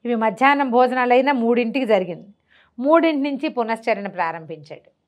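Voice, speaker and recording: A woman's voice: fairly high pitch, expressive, brisk. A woman telling a traditional tale aloud in a conversational way, posing rhetorical questions and acting out the dialogue as she goes. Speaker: storyteller. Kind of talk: animated oral storytelling of a traditional tale.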